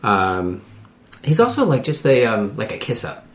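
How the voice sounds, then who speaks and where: Halting, low-voiced man in an echoey room. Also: huge pitch swings. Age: late thirties. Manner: halting, searching for words. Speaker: podcast host. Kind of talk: casual TV recap discussion.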